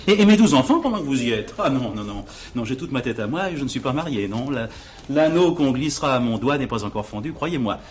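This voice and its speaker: Give the part of a brash airline captain to a male actor in a French stage comedy. He is teasing and insistent, with a very animated delivery that swings up in exclamation. He flirts confidently at an ordinary male pitch. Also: fast, natural voice. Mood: indignant, joking.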